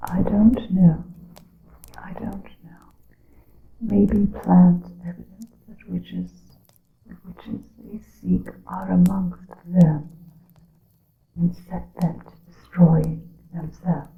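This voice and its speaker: A woman whispering an ASMR roleplay as a grave witch, in slow, hushed, theatrical phrasing with a breathy voice. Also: sing-song. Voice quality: breathy whispering mixed with soft low voice. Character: grave witch counseling her coven in secret. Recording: muffled lo-fi, echoing room.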